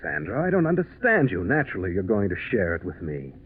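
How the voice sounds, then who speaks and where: An actor playing an anxious, insistent schemer in a 1940s radio mystery, with an urgent, pressing manner. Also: male voice, low voice, wildly swooping pitch, fast. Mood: hurt disbelief. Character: anxious, insistent schemer hungry for insurance money. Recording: muffled, noisy 1940s radio recording.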